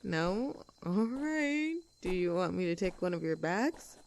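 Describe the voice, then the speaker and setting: A woman's voice: on the low side, big pitch swings, measured pace. A woman playing a young, outdoorsy tomboy friend in an audio roleplay, chatting casually in an upbeat, caring and reassuring way. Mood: caring, encouraging. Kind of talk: roleplay audio acting, casual intimate chat.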